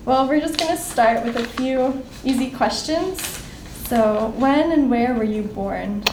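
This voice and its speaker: A young woman, a graduate student, reading a formal oral history introduction and then asking interview questions in a measured way. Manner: measured, reads the consent script carefully. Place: conference room. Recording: echoey room with faint rumble.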